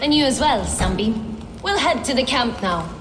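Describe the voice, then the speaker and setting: Brash, high-voiced Danish warrior woman. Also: sing-song, moderate pace. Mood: cheery, teasing. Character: brash, cheeky, irrepressible Danish warrior woman, mocking humour. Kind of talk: animated video game dialogue. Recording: loud music, roomy echo.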